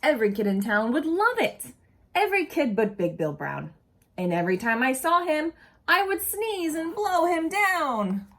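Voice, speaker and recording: A woman reading a picture book aloud expressively to young children, pausing to ask them simple questions. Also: sits high, sing-song.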